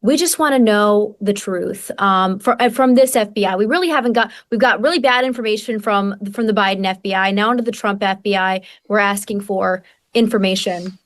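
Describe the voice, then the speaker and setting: American woman commenting, halting and repetitive. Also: medium pitch. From Wisconsin, United States. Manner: halting, repeats words mid-sentence. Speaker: guest journalist. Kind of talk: political commentary in conversation.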